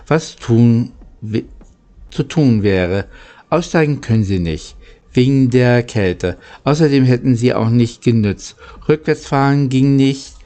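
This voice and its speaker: A man narrating a children's story from a script, in a halting way that stumbles over words. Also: medium pitch, mellow. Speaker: audiobook narrator.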